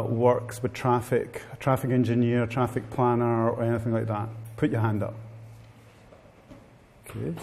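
A man's voice: mid-range voice, dark tone. Italian-accented man in an echoing hall. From Italy. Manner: informal, wry, addresses the audience directly. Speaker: guest speaker. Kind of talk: live seminar talk. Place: seminar room with live audience. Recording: long hall reverb.

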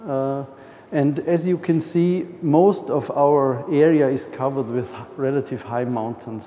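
Austrian man presenting in English, nervous and halting, mellow voice, long hall reverb. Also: mid-range voice, slight background noise. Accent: Austrian German. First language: German. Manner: hesitant, frequent uh and restarts. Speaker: speaker. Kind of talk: conference presentation.

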